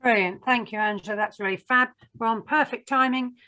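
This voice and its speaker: A woman's voice: a little high, fairly even intonation, brisk, pushed and bright. A woman hosting an online event, upbeat as she thanks a speaker and hands over, on a video call. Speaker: host.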